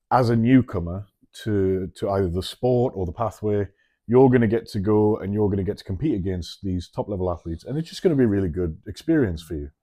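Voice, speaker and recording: Low, mellow-voiced male podcast co-host. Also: dry recording. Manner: chatty, asks questions, frequent restarts. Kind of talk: casual chat.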